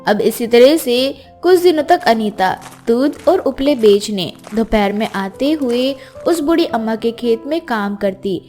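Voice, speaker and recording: A woman's voice: a little high, animated, unhurried, hardly any pauses, mellow. A woman narrating a scripted children's fairy tale as storyteller. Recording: music behind the voice.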